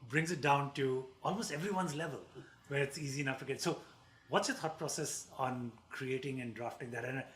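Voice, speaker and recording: A man's voice: mid-range voice, fairly quick, a bit bright. A man co-hosting a livestream who asks long, winding questions full of fillers. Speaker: co-host. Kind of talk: conversational livestream interview.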